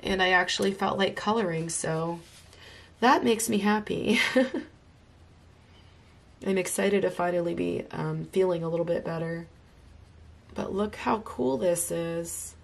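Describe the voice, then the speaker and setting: A woman's voice: lowish voice, measured pace, creaky, pauses often. A woman, slightly congested from illness, enthusiastically talking through a coloring tutorial as she works. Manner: enthusiastic, chatty, talks while drawing. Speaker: art tutorial host. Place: home craft desk.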